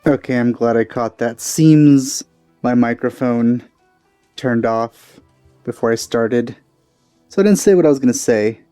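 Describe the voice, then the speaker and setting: A man giving casual, low-key, rambling let's play commentary on a video game. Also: a little slow, mellow, clear, resonant tone, dry recording. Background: faint game music.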